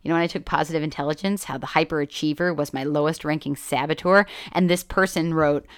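A woman's voice: deep voice, fairly even intonation, quick pace, clear, resonant tone, no echo, studio quality. A woman hosting a podcast, talking candidly and bluntly, swearing freely, with self-deprecating asides. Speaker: podcast host. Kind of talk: candid personal monologue.

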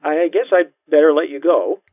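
A man voicing a hesitant, gently polite estranged wife in audiobook dialogue, heard through a telephone filter. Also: a little high, no echo, pitched above the performer's own voice. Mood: subdued, tentative. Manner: halting, unsure, gently polite. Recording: thin, band-limited phone-line sound.

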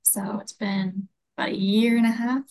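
A young woman who grew up in California, chatting casually and softly as a guest on a video call. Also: medium pitch, steady tone, moderate pace. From California, Bay Area. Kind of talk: casual interview chat.

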